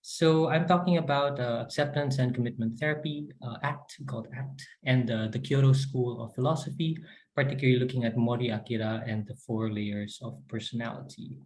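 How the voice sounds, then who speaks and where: Relaxed friendly male lecturer in his forties. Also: medium pitch, brisk, low-quality recording. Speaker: guest lecturer. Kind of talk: academic presentation.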